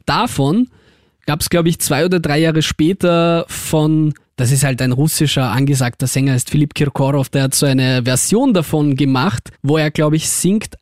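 A young man from Ukraine, co-hosting a casual podcast chat in a relaxed, rambling way and steering the talk. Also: fairly high pitch, no room sound, clean studio sound. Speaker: co-host.

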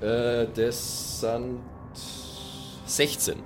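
A man's voice: medium pitch, completely flat delivery, very slow. A man chatting casually and slangily as a player in a tabletop role-playing game. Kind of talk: casual improvised game chat. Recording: clear music behind the voice.

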